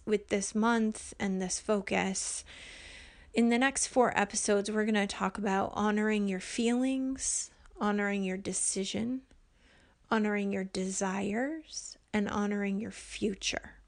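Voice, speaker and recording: A woman speaks slowly and unhurriedly, her voice soft and hushed, often dropping to a near whisper. She hosts her own podcast, recorded at home late at night, and talks in an earnest, gentle way with plenty of ums. Her pitch sits in the middle of the range. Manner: gentle and earnest, with frequent ums and light laughs. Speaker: podcast host and life coach. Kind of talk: casual solo podcast talk.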